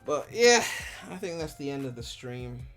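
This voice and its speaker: A man's voice: mid-range voice, big pitch swings, moderate pace, fairly bright tone, soft background music. Rambling young man streaming from home. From Ghana. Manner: rambling and candid, heavy on filler "like", swears freely. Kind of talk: casual live-stream chat answering viewers.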